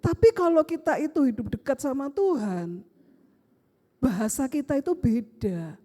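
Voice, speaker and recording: Middle-aged woman pastor, Surabaya Javanese accent, high voice, long hall reverb. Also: normal speed. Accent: Surabaya Javanese Indonesian. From Surabaya, Indonesia. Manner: animated storytelling with rhetorical questions, acts out family dialogue. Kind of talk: sermon. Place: church sanctuary.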